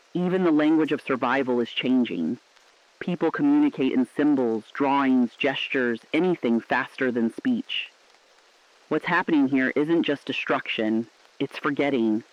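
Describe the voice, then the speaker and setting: A man playing a solemn radio correspondent reporting humanity's collapse, in scripted narration that is grave, deliberate and poetic. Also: mid-range voice, dry recording, lo-fi sound, close to an everyday voice. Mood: bleak, reflective. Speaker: radio broadcaster.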